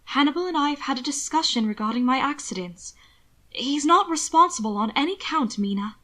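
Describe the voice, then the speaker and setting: A woman voicing a weary, polite gentleman in an audiobook, earnest and gently firm. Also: high voice, expressive, fairly quick, voice pushed up. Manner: courteous, earnest, subdued. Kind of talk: audiobook character dialogue.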